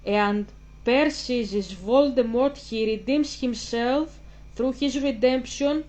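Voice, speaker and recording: Greek lady talking slow to camera, kinda high voice, keeps restarting mid sentence. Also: a little mellow. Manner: halting, restarts while translating in her head. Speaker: channel host. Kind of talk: casual on-camera talk. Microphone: camera mic.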